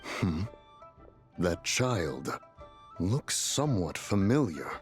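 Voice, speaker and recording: Deep, slow, pompous immortal. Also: male voice, very animated, faint music behind, full character voice. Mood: curious. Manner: pompous, formal archaic diction. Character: stately immortal male adeptus, solemn, self-righteous and dryly sly. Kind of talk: fantasy video game voice acting.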